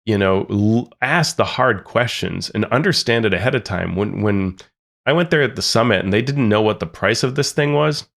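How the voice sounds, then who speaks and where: A man's voice: on the low side, lively intonation, normal speed, vocal fry, fairly bright tone. A man co-hosting a podcast, talking earnestly and critically with frequent restarts. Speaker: podcast co-host. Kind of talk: casual discussion.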